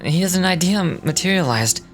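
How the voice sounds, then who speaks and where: A woman's voice: lowish voice, lively intonation, moderate pace, soft background music, pitched below the performer's own voice, lightly acted. A woman voicing a proud, earnest male hound officer in a video game, reading his dialogue in a solemn, formal, storytelling manner. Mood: solemn, admiring.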